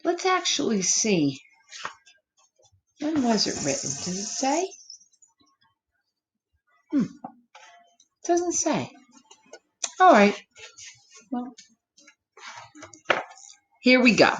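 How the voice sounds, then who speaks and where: Woman, children's storyteller: slow delivery, lively swooping intonation, simple wording, gentle asides to little listeners. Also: fairly high pitch, slight background noise, poor audio. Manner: expressive, gently questioning, speaks simply as to small children. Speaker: storyteller reading a picture book to children. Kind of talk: children's story time, read-aloud with asides to young listeners.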